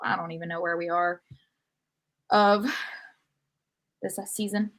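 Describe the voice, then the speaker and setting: A woman's voice: lowish voice, steady tone, a little slow, fairly bright tone. A woman hosting a podcast, chatting casually about sports in an enthusiastic, talkative way. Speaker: podcast host.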